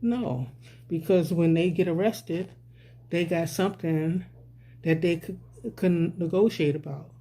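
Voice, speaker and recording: A man's voice: a little high, measured pace, dark tone, a little hiss or hum. A man vlogging a rambling, casual social commentary, often repeating "you know what I'm saying.".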